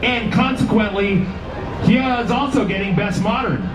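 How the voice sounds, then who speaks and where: Guy mcing awards on an outdoor stage, loud and informal, echoey pa from far off, music around. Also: high-pitched, normal speed. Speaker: awards show announcer. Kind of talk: live event announcing. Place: outdoor motorcycle show stage.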